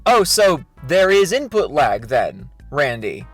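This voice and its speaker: Man, exasperated, sarcastic commentary, sweary rant, slightly high pitch, light music underneath. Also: big pitch swings, takes it slowly. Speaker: video commentator.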